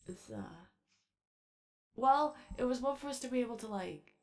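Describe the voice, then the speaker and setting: A woman voicing an anxious, eager and gentle robot daycare attendant in an ASMR roleplay, speaking in a hesitant, rambling way. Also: on the high side, lively intonation. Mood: flustered, rambling. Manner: hesitant, rambling, halting speech. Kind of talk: improvised-sounding ASMR character roleplay.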